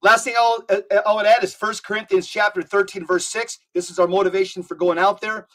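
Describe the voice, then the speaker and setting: A man who is a guest on a podcast, talking in an emphatic, preachy way and spelling details out slowly. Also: high voice, flat delivery, fairly bright tone. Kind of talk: podcast conversation.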